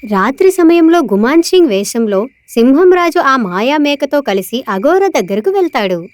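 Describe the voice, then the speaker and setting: High-pitched woman narrating a story. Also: big pitch swings, normal speed, a little mellow, no echo. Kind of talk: scripted children's story narration.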